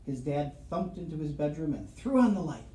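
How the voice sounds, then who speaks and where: Mellow man reading a picture book aloud. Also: a little high, very animated. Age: middle-aged. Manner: dramatic, suspenseful pauses. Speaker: school storyteller reading to children. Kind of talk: expressive picture-book read-aloud with asides to children. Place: small office, seated at desk. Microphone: camera across the desk. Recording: roomy office sound, faint background noise.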